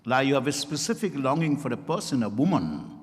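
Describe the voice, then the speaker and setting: An actor playing an insistent, smoothly persuasive male doctor and counsel in a formal, theatrical stage reading. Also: medium pitch, big pitch swings, fairly quick, natural voice. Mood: probing, persuasive. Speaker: stage reader in a dramatized reading. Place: event hall at a hotel, live stage reading. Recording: echoey hall with long reverb.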